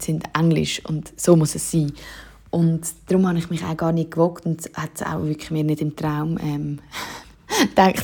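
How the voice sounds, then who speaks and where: Swiss German singer, young woman, low mellow husky voice, chatty and loose with fillers. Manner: casual, many fillers and "wie". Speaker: singer-songwriter. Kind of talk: interview answers.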